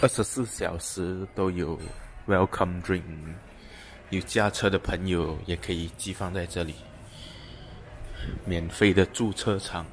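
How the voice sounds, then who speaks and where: A man's voice: deep voice, steady tone, a little slow. A man, chatting easily as he leads a walk-through of a hotel. He films on a handheld camera, so plenty of background noise sits under his voice. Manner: chatty, pointing things out to viewers. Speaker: travel vlogger. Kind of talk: casual hotel tour narration. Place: hotel lobby and rooftop, walking. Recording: noisy handheld camera audio.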